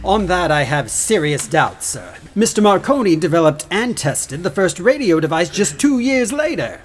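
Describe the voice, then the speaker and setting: An actor playing a stern, condescending government attorney in a courtroom audio drama, formal and dismissive. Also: male voice, high voice, sing-song, moderate pace, a little hiss or hum.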